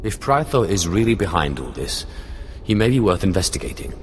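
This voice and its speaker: A man playing a cold, haughty Sith acolyte in a video game, speaking in a measured, disdainful way. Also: sits low, very animated. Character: haughty, cold young male Sith acolyte, player hero. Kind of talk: video game dialogue acting. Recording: noisy game capture.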